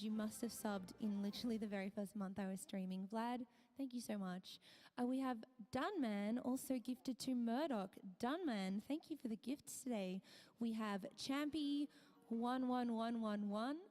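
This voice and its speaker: A woman streamer chatting casually with her viewers, her voice hoarse and tired, half whispering. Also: on the high side, unhurried, darkish tone, in a large hall. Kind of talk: casual live-stream chat.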